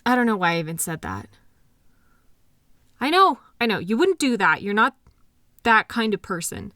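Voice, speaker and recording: Hurt, mistrustful girlfriend voiced by a woman for relationship audio drama: very lively intonation, close dry sound, anxious and accusing. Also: medium pitch, dry recording. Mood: backing down, ashamed. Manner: emotional, accusatory, halting. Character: hurt, anxious girlfriend with trust issues, arguing with partner. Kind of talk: intimate roleplay audio drama.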